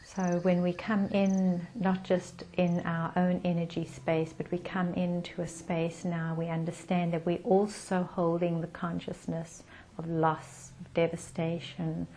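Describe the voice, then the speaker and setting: Meditation guide, female: lowish, very slow, soothing delivery, faint noise behind the voice. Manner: calm, soothing, with gentle pauses.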